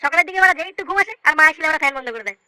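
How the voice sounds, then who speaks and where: A performer voicing a brash, foul-mouthed young village slacker in a comedy cartoon, with a squeaky pitched-up voice and crude, bickering delivery. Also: very fast, sharp tone, no echo. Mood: grumbling. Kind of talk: comic cartoon dialogue with slangy swearing.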